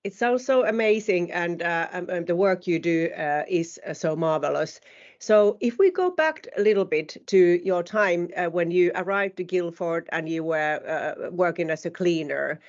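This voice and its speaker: A woman's voice: on the low side, normal speed, darkish tone, highs cut off. A woman hosting a podcast interview, reading a scripted opening and then asking questions hesitantly with many fillers. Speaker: podcast host.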